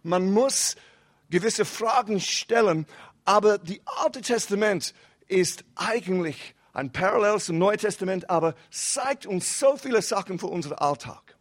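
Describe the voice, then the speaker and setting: Young adult male guest pastor, non-native speaker with a foreign accent, high voice, casual preaching style. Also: lively intonation, a little slow. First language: not German. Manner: casual, mixes English words, rising and falling emphasis. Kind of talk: sermon.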